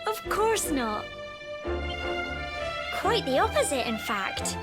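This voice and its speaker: Over loud music, a spirited young village girl from a fantasy game. Her British-accented voice is high and unhurried, with a sweet, earnest, girlish manner. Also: big pitch swings, heavily acted. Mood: fond, excited. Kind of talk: scripted video game voice acting.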